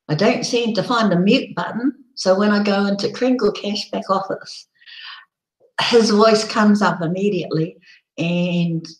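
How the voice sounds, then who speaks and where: A woman with a croaky, hoarse voice, hosting a casual live broadcast and rambling with many ums. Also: on the low side, echoey room, rough recording. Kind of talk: casual live-stream chat.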